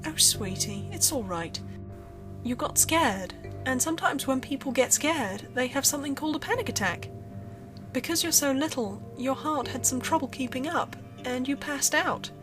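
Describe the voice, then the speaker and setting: Casting: woman as tender storybook mother, raised pitch, soothing childlike lilt, music underneath. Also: lively intonation, normal speed. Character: affectionate mother soothing her small child. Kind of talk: acted storybook dialogue.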